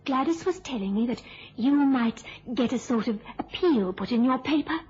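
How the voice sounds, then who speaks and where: An unbalanced older spinster in a vintage radio drama. Her high voice is very husky, and she speaks haltingly, repeating words with anxious fervour. The old recording is muffled. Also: expressive, a little mellow, exaggerated voice. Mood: fretful hope. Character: fragile, unbalanced, obsessive older spinster with murderous urges.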